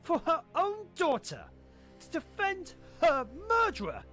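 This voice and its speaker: A woman playing a suave, menacing, possessive sorcerer villain in an animated fan drama, with a silky theatrical delivery. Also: very high voice, a little slow, heavily acted. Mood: pleading, enraged. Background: music.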